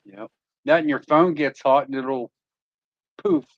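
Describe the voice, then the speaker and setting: A man's voice: medium pitch, sing-song, measured pace, vocal fry, dry recording, lo-fi sound. A man joining a livestream panel as a guest, chatting casually and agreeably. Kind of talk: casual chat. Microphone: laptop.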